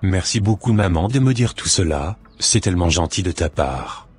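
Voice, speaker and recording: A man's voice: sits low, lively intonation, normal speed, a little mellow, breathy edge, faint music behind. A man playing a polite, obedient schoolboy son in a cartoon, speaking in a formal, deferential way. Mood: grateful. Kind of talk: scripted cartoon dialogue.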